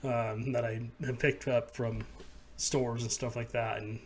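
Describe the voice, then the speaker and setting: Host: easygoing man, mid-pitched voice, loose talk with lots of fillers. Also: flat delivery, unhurried, a little hiss or hum. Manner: loose storytelling, many fillers. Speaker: paranormal podcast host. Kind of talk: casual interview chat.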